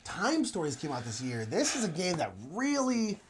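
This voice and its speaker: A man's voice: high voice, wildly swooping pitch, normal speed, slight background noise. A man of thirty co-hosting a board game show in casual, enthusiastic chat, informal and emphatic, often repeating himself.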